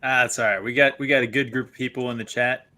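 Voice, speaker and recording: A man hosting a casual online book club chat, chatty and quick to laugh, often restarting his sentences. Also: mid-range voice, steady tone, pushed and bright.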